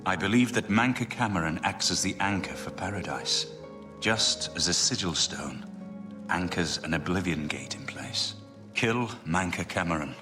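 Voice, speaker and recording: An actor playing a wise, solemn mage ally in a fantasy game, speaking in a grave, measured, earnest way. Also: male voice, extremely low pitch. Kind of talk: scripted fantasy game dialogue. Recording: music behind the voice.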